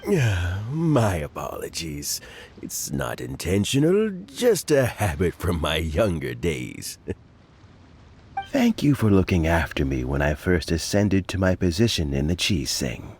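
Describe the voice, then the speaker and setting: A man's voice: low-pitched, very animated, slow pace, darkish tone, slight background noise, full character voice. An actor playing a courteous, wordy, grandfatherly old official in a video game. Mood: humble, grateful. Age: older. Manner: courteous, rambling, hesitant. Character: genial, wordy old gentleman, modest grandfatherly official in disguise.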